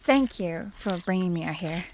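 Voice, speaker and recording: A woman's voice: fairly low pitch, lively intonation, normal speed, darkish tone, a little hiss or hum. A woman playing an affectionate young student fiancée in an audio drama, with a conversational delivery. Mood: affectionate, teasing. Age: young adult.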